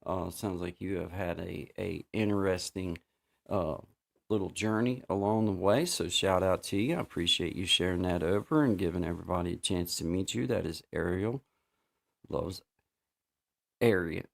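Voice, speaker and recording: A man hosting a livestream, chatting casually and rambling, often repeating himself and filling pauses. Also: low-pitched, vocal fry. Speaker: livestream host.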